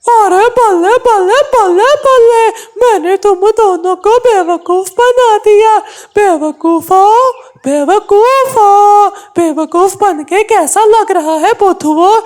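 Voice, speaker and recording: A woman voicing a mocking, mischievous male crow in a children's cartoon, with a taunting, drawn-out delivery. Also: extremely high pitch, a little mellow. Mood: gleeful taunting. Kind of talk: Hindi kids' cartoon dubbing.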